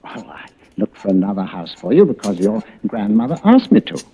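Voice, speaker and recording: An actor playing a mild, polite, hesitant real estate agent, husky-voiced, in old-time radio suspense style. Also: male voice, on the low side, big pitch swings, fairly quick, mellow. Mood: placating. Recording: dull vintage radio drama recording.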